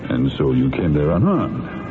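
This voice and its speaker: An actor playing a probing courtroom lawyer questioning deliberately in a classic radio drama. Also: male voice, extremely low pitch, very animated. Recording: tinny old radio, music behind.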